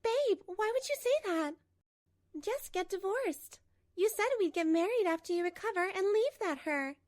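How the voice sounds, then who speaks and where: A woman's voice: very high voice, expressive, moderate pace, a little mellow, no echo, studio quality, voice pushed up. A woman voicing a clingy, brazen young mistress in a manga dub, whiny and cutesy.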